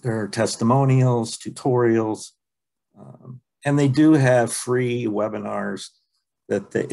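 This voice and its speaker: A man presenting a software training webinar over a video call, relaxed and halting, with many ums and uhs. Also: lowish voice, vocal fry, breathy edge, poor audio. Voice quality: plain, unexciting voice. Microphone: computer mic on a video call.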